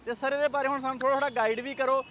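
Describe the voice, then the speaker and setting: A man's voice: extremely high pitch, fairly even intonation, rapid-fire, a bit bright. A man with a Punjabi accent hosting a chatty, casual car vlog walkaround, often echoing what is said to him. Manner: chatty, echoes the other speaker's phrases. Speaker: car vlogger and host. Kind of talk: casual product walkaround interview. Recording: faint outdoor traffic noise.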